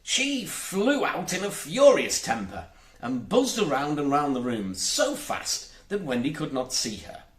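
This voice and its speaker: A man's voice: fairly high pitch, big pitch swings, unhurried, echoey room. A man reading a children's storybook aloud as an audiobook narrator, expressively emphasizing his words. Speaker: audiobook reader.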